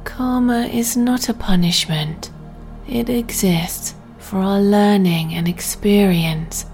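A woman hosting a guided sleep meditation, speaking in a soft, breathy, soothing and deliberate way. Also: mid-range voice. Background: music.

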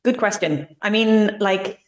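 A woman's voice: medium pitch, measured pace, rough recording. A young Irish woman, a language teacher, talking passionately and fluently as a guest in a podcast interview. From Ireland. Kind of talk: conversational interview.

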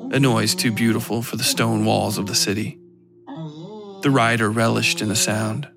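Gravelly man narrating dark fiction. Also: mid-range voice. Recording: acoustic guitar music behind. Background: plucked guitar music.

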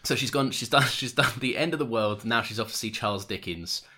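A man's voice: medium pitch, fairly quick. A man co-hosting a casual podcast, laughing often and acting out characters' lines as he tells the story. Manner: laughing, animated storyteller who acts out lines. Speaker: podcast co-host. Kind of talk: casual chat.

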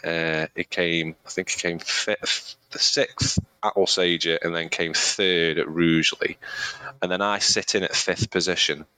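A chatty man with a very deep voice, co-hosting a podcast. He talks casually and loosely, circling back with restarts. The recording is close and dry. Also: steady tone, unhurried, pushed and bright, smooth, dry recording, poor audio. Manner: chatty, rambling with restarts and laughs. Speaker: podcast co-host, amateur runner. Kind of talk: casual chat.

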